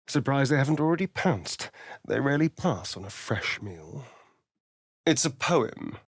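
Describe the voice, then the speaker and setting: Sardonic vampire rogue, video game character, suave drawl, swooping intonation, unhurried. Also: male voice, mid-range voice, sing-song, slightly rough, dry recording, clean studio sound, full character voice. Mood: wry, dramatic. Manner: arch, drawling, theatrical.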